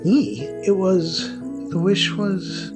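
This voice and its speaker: A man's voice: high-pitched, animated, takes it slowly. A man playing a flustered, bookish young wizard with an awkward, stammering manner in an audio romance story. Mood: flustered, astonished. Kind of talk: scripted audio fiction, narration and character dialogue. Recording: music behind the voice.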